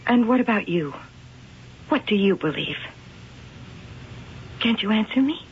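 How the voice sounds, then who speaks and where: An actress playing a mysterious woman who keeps cats and claims to have no past, speaking in a cool, measured manner in an old radio drama. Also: medium pitch, expressive, slight background noise, natural voice. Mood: searching. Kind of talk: 1970s radio drama acting. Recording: dull old radio broadcast with music.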